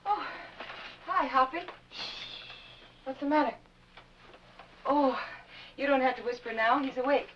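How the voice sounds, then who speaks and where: A woman playing a gentle, anxious wife caring for an ill husband, kindly and motherly, in an old-time dramatic style. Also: high-pitched, measured pace, pauses often, slight background noise. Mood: kindly, cheerful. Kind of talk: old-time dramatic acting with confiding narration. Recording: dull vintage recording, music underneath.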